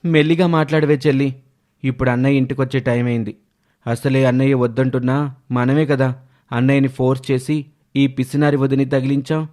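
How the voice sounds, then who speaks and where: A man's voice: medium pitch, level tone, brisk, a little mellow, lightly acted. A man playing a cautious, worried young brother in a moral story cartoon. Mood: anxious, hushing. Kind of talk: animated moral story voice acting.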